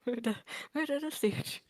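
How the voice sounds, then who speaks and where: A woman's voice: on the high side, normal speed, a little mellow, no room sound, clean studio sound. A woman co-commentating on a video game stream in casual, conversational chat.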